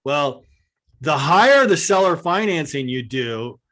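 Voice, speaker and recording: Higher-voiced man hosting a casual Q&A. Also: expressive, unhurried, fairly bright tone. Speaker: host answering audience questions. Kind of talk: casual question-and-answer talk on a video call.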